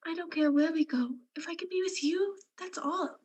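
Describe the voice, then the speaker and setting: A woman's voice: extremely high pitch, normal speed, darkish tone, highs cut off. A woman playing a shy, adoring, superstitious young country girl in a radio play.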